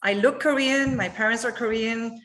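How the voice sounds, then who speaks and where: A woman's voice: a little high, normal speed, fairly bright tone. Woman, chatty and laughing. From Montreal, Canada. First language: French. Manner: laughing, many fillers and restarts. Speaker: company executive guest. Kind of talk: casual panel discussion.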